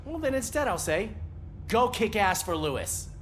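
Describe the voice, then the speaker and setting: Chatty desk cop, lively pitch swings. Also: male voice, normal speed, background noise. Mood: helpful. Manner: hesitant, wry, eager small talk. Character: chatty, good-natured desk police officer, a paper pusher.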